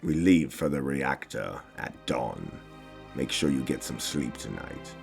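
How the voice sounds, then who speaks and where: An actor playing a cold, haughty legendary warrior in a game parody, speaking slowly and with disdain. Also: male voice, rumbling low, lively intonation, creaky, music in the background, full character voice. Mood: commanding. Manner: slow, deliberate, disdainful.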